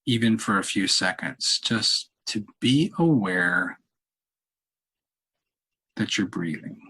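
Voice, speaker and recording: A man leading a guided meditation in a calm, unhurried, halting way with long pauses and a husky voice. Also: fairly low pitch, poor audio. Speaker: meditation leader, podcast host. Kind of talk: guided meditation, softly spoken instruction.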